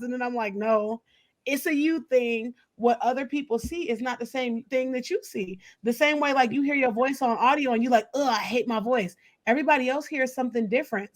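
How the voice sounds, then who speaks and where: Woman co-host, open and expressive, laughs easily. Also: high-pitched. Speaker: podcast co-host. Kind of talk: casual chat.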